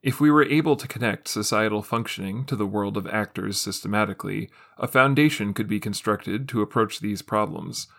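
A man's voice: fairly low pitch, a little fast, vocal fry, hardly any pauses, fairly bright tone. A man reading a scripted essay aloud as narration. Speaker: reader of an essay.

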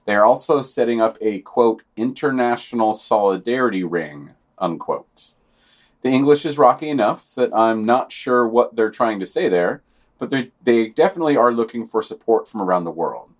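A man's voice: medium pitch, animated, moderate pace. Man, podcast co-host, scripted news reader, dry delivery, sardonic asides.

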